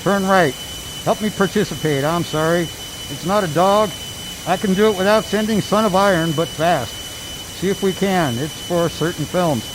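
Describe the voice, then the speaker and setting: An excitable fighter pilot in a dogfight played by a man for an amateur radio comedy. His voice is on the high side, with plainly audible jet engine noise behind it. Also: moderate pace, natural voice. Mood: agitated.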